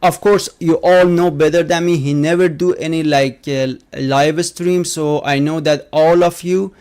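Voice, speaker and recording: A man hosting a gaming podcast, talking casually with many fillers and halting, non-native phrasing. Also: a little high, steady tone, a little mellow, clear, resonant tone. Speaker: podcast host.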